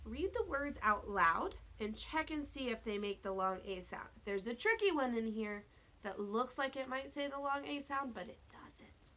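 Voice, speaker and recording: A woman teacher giving a read-aloud phonics lesson to young children, speaking deliberately, spelling out words and asking questions. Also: on the high side, expressive, fairly bright tone. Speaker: elementary school teacher.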